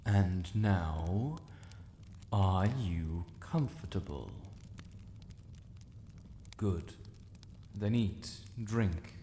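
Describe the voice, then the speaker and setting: A British man voicing an ancient dragon lord, regal, stern yet protective, with formal, measured diction in a fantasy audio roleplay. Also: deep voice, mellow, a little hiss or hum, exaggerated voice. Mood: gently concerned. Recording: echoey cavernous reverb.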